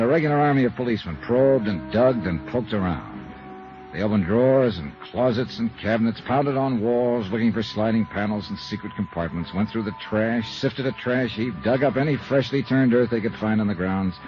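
An American actor playing a brisk, wry, hard-boiled insurance investigator in a 1950s radio detective drama. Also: male voice, fairly low pitch, lo-fi sound, natural voice. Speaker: investigator narrator and lead. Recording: vintage radio recording, band-limited, music underscoring.

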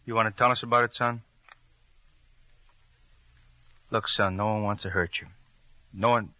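A muffled vintage radio recording of an actor as an impatient police detective. His voice is low, and he presses with short, insistent questions in a mid-century crime-drama manner. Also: fairly even intonation, fast talker, dry recording, close to an everyday voice. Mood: coaxing. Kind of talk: 1940s-50s radio drama acting. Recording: muffled old radio recording, dry studio.

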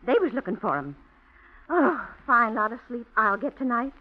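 A woman's voice: fairly high pitch, big pitch swings, normal speed. An actress playing a blunt, grumbling working-class landlady who talks ungrammatically, in 1940s radio acting, on a muffled old radio recording.

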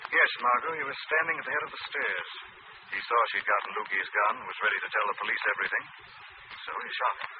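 An actor playing a confident, stern crime-fighting avenger in an old radio drama, deliberate and mockingly dramatic. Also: male voice, medium pitch, expressive, vocal fry. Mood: calm explaining. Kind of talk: 1940s radio drama acting. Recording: muffled, noisy old radio recording.

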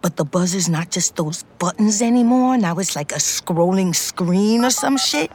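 A woman's voice: medium pitch, expressive, moderate pace, darkish tone, a little hiss or hum, close to an everyday voice. An actress playing a streetwise middle-aged female ex-convict, telling her story in a profane, intense, conversational audio drama monologue. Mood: reflective. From New York City, United States.

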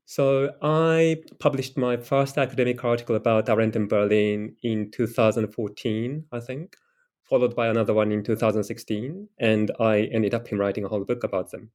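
A middle-aged man from Japan, a political theorist answering interview questions in a careful, measured way. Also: medium pitch, fairly even intonation, darkish tone. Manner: careful, measured explanation with occasional fillers.

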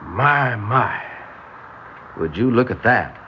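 An actor playing a smooth-talking, scheming young man in a radio drama, with folksy, drawn-out phrasing. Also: mid-range voice, animated. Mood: flattering. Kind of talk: vintage-style radio drama acting. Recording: dull lo-fi radio recording, faint noise.

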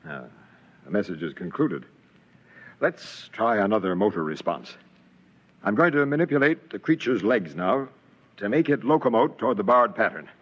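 Alien commander for vintage radio sci-fi: confident, clipped, forceful, very animated, muffled old recording. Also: male voice, fairly low pitch, moderate pace, long pauses, husky, heavily acted. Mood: brisk, commanding. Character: eager, confident male alien military commander invading a creature. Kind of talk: mid-century radio drama acting.

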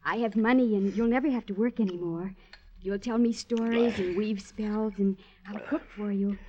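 An actress in a classic radio drama playing a lovestruck, melodramatic widow with an effusive manner. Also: female voice, mid-range voice, steady tone, normal speed, a little mellow, natural voice. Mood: doting. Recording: dull, band-limited radio recording.

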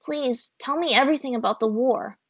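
A woman voicing a gentle, confused young airbender boy who asks and pleads unsure. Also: high voice, brisk, slightly rough, no echo, highs cut off, pitched above the performer's own voice. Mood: pleading.

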